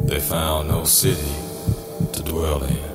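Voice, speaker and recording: A man giving a solemn dramatized Bible reading in measured phrases with deliberate pauses. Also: rumbling low, little pitch movement. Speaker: scripture narrator. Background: music.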